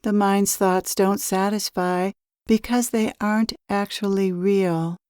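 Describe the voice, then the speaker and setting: Slow, soothing woman guiding meditation. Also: mid-range voice, clear, resonant tone, no room sound, clean studio sound. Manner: calm, soothing, measured with long pauses. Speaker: spiritual teacher leading a meditation. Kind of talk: slow guided meditation, channeled address.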